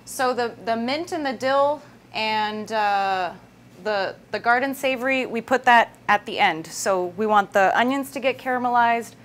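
A woman's voice: a little high, unhurried. A woman in her thirties hosting a casual live cooking demonstration, talking conversationally through each step. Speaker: cooking show host. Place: indoor kitchen set with wooden worktop. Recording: noisy room sound with kitchen ambience.

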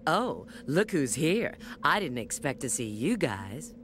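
A woman voicing a confident, sultry female bat spy in a video game, coy and unhurried. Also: rumbling low, sing-song, background noise, heavily acted. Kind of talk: video game cutscene acting. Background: background music.

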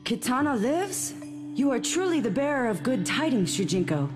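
A woman's voice: fairly high pitch, sing-song, moderate pace. A woman playing a regal, resolute queen in a video game, speaking in a stately, formal way. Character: regal, grateful queen, mother of a warrior princess. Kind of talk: video game cutscene acting. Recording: game music behind.

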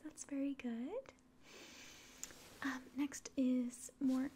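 Slow, whispering young woman doing ASMR. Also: high voice, little pitch movement, slight background noise. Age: 20s. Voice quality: breathy whisper and very soft speech. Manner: gentle, hesitant, polite clinical instructions. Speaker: ASMR roleplayer playing an alien doctor. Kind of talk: whispered ASMR medical exam roleplay. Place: small indoor room at home.

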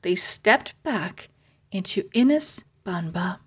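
A woman narrating a children's chapter book aloud, with careful, expressive storybook phrasing. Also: medium pitch, slow, a little hiss or hum. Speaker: read-aloud host and narrator.

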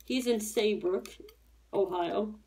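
A woman's voice: mid-range voice, slow pace, dark tone, slight background noise. A woman in her thirties from Tennessee, chatting casually and rambling as she works on a craft at home. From Tennessee, USA. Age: 30s. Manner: rambling, chatty, self-correcting. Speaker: diamond painting YouTuber. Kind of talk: casual chat while crafting. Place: home craft table, indoors.

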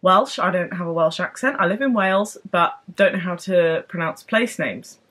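A young woman chatting to camera in a casual book vlog, bubbly and excitable, rambling from one thought to the next. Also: on the low side, moderate pace. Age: young adult. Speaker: book vlogger. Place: home room with bookshelves, later parked car.

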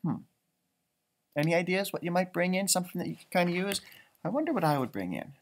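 Storybook reader, male, 30s. Expressive and chatty, highly varied intonation, stretches key words for kids. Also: a little hiss or hum. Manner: expressive, chatty, drawn-out emphasis. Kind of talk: animated read-aloud with casual asides.

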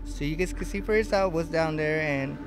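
A man's voice: on the high side, a little fast. A man in his fifties giving a casual, explanatory walking tour as a school plant supervisor. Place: school stairwell and basement electrical room. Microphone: handheld interview microphone. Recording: echoey concrete basement, noisy handheld mic.

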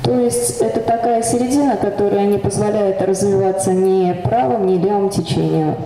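A woman giving brisk consecutive interpretation at a conference. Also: medium pitch, fairly even intonation, a crowd in the background. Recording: echoey conference hall, noisy.

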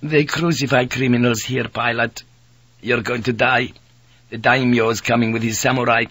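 A man voicing a hostile, hot-tempered priest in his twenties from Portugal, impatient and sharp as he interrogates, in an audiobook. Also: medium pitch, level tone, moderate pace, slightly rough, no echo. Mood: irritated, stern.

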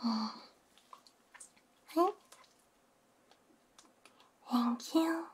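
A young woman performing a gentle, soothing ASMR roleplay in a hushed whisper with soft hums, close on a binaural microphone. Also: on the high side, very slow, husky.

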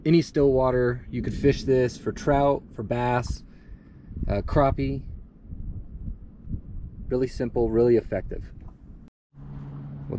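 Relaxed man vlogging outdoors by a lake. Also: mid-range voice, noisy background. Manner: relaxed, upbeat, offhand. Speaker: fishing vlogger. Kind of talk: casual outdoor vlog. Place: outdoors by a lakeside dam. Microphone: action camera.

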